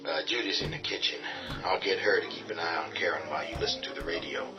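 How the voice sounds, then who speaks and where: An actor playing a calm, firm man who takes charge of the survivors in a radio drama. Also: low voice, fast, natural voice. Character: steady male leader of the survivors. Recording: dull lo-fi audio with music.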